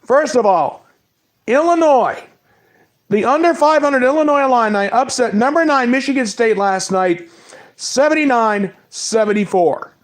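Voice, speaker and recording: A man with a cold giving a casual spoken recap of basketball results, rattling off stats in quick lists. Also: extremely high pitch, lively intonation. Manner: reads stats in quick lists, apologizes for mispronouncing names. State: has a cold. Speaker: sports talk host. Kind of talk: casual sports recap monologue.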